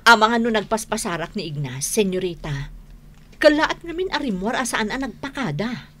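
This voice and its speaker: A woman's voice: mid-range voice, expressive, normal speed, fairly bright tone. A woman with an Ilocano accent acting an anxious, worried sweetheart in a radio drama.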